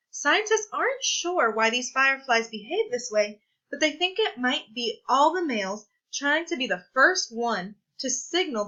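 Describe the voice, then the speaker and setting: A woman reading a children's science picture book aloud, with clear, deliberate, teacherly phrasing. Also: high-pitched, sharp tone, highs cut off. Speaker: read-aloud presenter.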